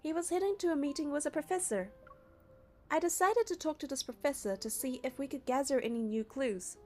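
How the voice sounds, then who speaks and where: Young woman, high voice, casual game narration, story reading, faint music behind. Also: a little fast. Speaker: playthrough narrator reading the player character.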